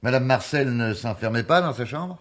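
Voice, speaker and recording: An actor playing a tenacious investigator in a mystery play, questioning deliberately with theatrical emphasis. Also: male voice, mid-range voice, lively intonation, close to an everyday voice. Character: probing, tenacious male amateur investigator. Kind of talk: French radio mystery play acting.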